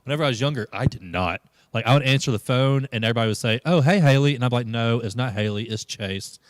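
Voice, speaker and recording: A man's voice: quick pace, dry recording. A man, a youth pastor hosting a playful live-stream interview chat. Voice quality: low voice. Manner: playful, joking, laughs often.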